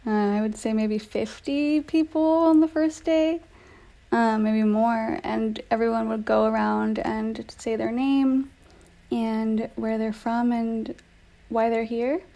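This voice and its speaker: Female narrator for an audio story, gently mellow with noticeable vocal fry. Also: on the high side, steady tone, slow pace.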